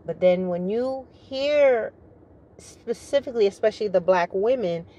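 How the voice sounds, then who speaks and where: A middle-aged woman, a retired soldier, with a naturally deep, rich voice, speaking in a measured, formal way, as if giving a briefing. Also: expressive, warm tone, highs cut off. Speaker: retired military vlogger. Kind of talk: opinionated monologue to camera.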